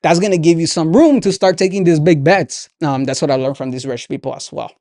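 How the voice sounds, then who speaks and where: Higher-voiced young man, motivational podcast talk. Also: a little fast, darkish tone, no room sound, studio quality. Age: 20s. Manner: earnest, conversational, frequent "right?" tags. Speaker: podcast host, entrepreneur. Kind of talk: motivational talk, sharing lessons directly to listeners.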